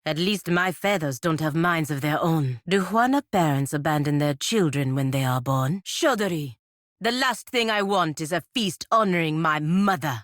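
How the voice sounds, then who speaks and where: A proud, dutiful warrior woman in a fantasy game, her voice low and close in a dry space. She speaks, formal and measured, with a sharp, disdainful edge. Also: no echo. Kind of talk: scripted fantasy video game dialogue.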